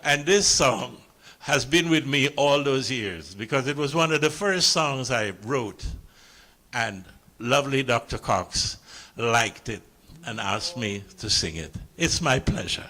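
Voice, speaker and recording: Slow, jovial Caribbean man telling stories. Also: medium pitch, lively intonation, sharp tone. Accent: Caribbean English. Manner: jovial, chuckling storyteller. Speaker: calypsonian. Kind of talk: storytelling to a live audience. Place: live stage event.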